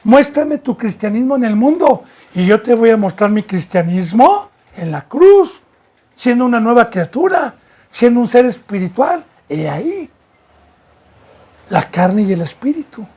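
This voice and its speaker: A man from Mexico preaching a fervent sermon as pastor, swinging between loud and soft and sometimes stumbling over his words. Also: very high-pitched, sing-song, measured pace, slightly rough.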